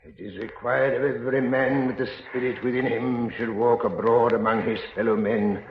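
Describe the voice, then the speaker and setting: An actor in a 1930s radio drama playing the solemn, mournful ghost of a dead man, intoning gravely on a muffled old recording. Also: medium pitch, steady tone, normal speed, roomy sound, a little hiss or hum, natural voice. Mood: mournful, warning.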